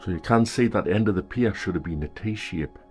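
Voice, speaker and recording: Low-voiced older man vlogging outdoors. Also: fast talker, faint music behind. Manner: chatty, wry asides. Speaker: travel vlogger. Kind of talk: casual travel vlog narration. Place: outdoors on a long pier.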